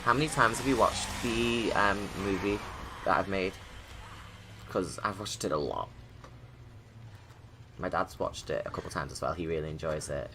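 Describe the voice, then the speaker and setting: A low-pitched man chats informally as a creator commenting on his own video. Noticeable film sound and ambience run behind his voice. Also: moderate pace, pauses often, noisy background. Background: game movie soundtrack and ambience.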